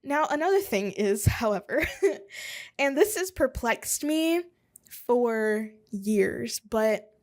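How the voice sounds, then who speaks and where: Young woman host, solo podcast chat from a home office, rambly and self-mocking, voice a bit high. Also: animated, slow. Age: 20s. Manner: rambling and self-deprecating, with chuckles and asides.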